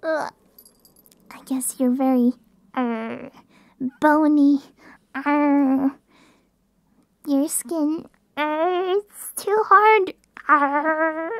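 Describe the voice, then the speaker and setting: A woman voicing a playful, affectionate, bratty puppy girl in an ASMR roleplay, cutesy and breathy, close to the microphone. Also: high voice, extremely slow, pauses often, fairly bright tone, no echo, full character voice. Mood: whiny, playful.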